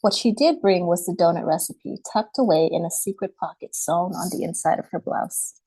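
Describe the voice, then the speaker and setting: A woman author speaks in a slightly low voice, close and dry with very little room sound. She is presenting and reading her picture book on a video call, with a polite manner. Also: moderate pace, dark tone, husky, dry recording, poor audio. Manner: polite, apologetic about screen sharing. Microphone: computer mic on a video call.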